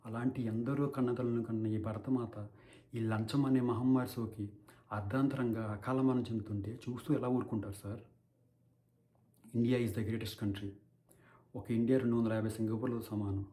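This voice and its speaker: Man acting a mellow-voiced, righteous courtroom crusader. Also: medium pitch, natural voice. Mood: anguished, then earnest, pointed. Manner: impassioned, declamatory, rhetorical. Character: righteous, anguished male crusader against bribery addressing a judge. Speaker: actor performing a film courtroom monologue. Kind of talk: dramatic theatrical courtroom monologue.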